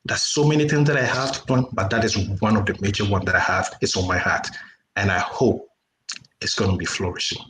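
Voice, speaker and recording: Male panelist, low voice, earnest and deliberate, roomy echoing sound. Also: steady tone, fairly bright tone. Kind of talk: unscripted personal testimony. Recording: roomy echo with noise and clicks.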